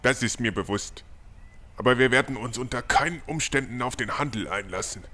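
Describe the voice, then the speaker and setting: A man's voice: medium pitch, brisk, bright, forceful tone. A man playing a firm, guarded village leader in a fantasy audio drama. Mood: firm, tense.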